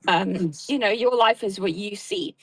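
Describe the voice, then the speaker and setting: A woman's voice: mid-range voice, normal speed, breathy edge, dry recording. A woman with a South African accent in casual chat, earnestly explaining things at length. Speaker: guest.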